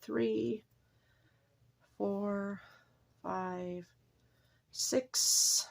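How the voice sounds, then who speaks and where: A woman with a slightly hoarse voice from a cold, hosting a casual step-by-step knitting tutorial from home in a homey, apologetic way, often pausing to correct herself. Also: medium pitch, fairly even intonation.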